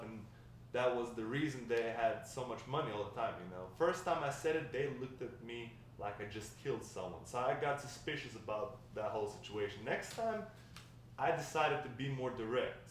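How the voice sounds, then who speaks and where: A man playing a nostalgic, talkative Mexican-American grandfather in a table read, warm and encouraging, rambling with hesitant pauses. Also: medium pitch, lightly acted. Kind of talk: amateur table read of a script. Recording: echoey room, distant mic, faint noise.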